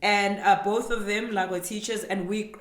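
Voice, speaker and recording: A woman's voice: medium pitch, reverberant, crowd noise. A South African woman from Durban, hosting a podcast and chatting casually and laughing, slipping into Zulu. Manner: lively, quick to laugh, mixes in Zulu. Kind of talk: casual chat.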